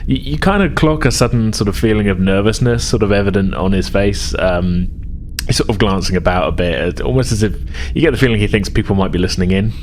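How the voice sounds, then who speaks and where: A man narrating a tabletop roleplaying game as game master, improvising in halting fragments. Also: on the low side. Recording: clear background noise.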